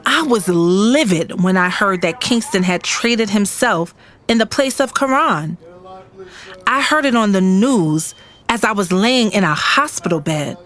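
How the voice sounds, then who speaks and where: A woman's voice: medium pitch, animated, a little slow, a bit bright. An actress playing a heartbroken woman who recounts her grief as first-person narration in an audio drama.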